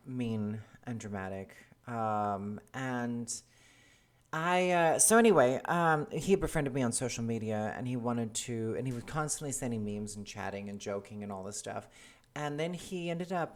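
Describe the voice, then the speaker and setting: A woman in her forties, originally from South Africa, talking casually to camera in a hesitant, earnest way, with many ums and restarts. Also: extremely low pitch. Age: 40s. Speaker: vlogger. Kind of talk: casual personal monologue to camera.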